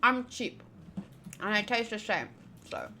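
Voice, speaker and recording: A woman's voice: mid-range voice, animated, a little slow, bright, forceful tone, slight background noise. A young woman streaming a visual novel, chatting casually in a playful, sweary, slangy way. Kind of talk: casual gameplay chat, reading game lines aloud.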